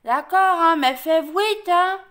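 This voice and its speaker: A woman voicing a hungry, gullible male big bad wolf from a children's storybook, with a lisp and clumsy, slow-witted speech. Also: high-pitched, close to an everyday voice. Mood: impatient, muddled. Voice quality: lisping.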